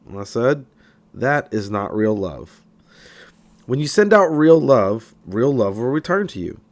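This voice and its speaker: A man reading a self-help book aloud in scripted narration, with emphatic, deliberate phrasing. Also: medium pitch. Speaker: audiobook reader.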